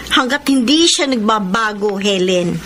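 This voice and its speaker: A woman's voice: medium pitch, expressive, a little slow, background noise, natural voice. A woman acting a sulky, demanding girlfriend in a Filipino radio drama. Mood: sulky, indignant. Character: sulky, demanding young girlfriend working as drugstore cashier.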